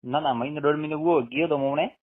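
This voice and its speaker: A man's voice: fairly high pitch, fairly even intonation, quick pace. Tinny phone-line man, Marwari accent. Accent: Marwari, western Rajasthan. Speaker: phone caller. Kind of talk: casual private chat. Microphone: telephone line. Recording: tinny phone call recording.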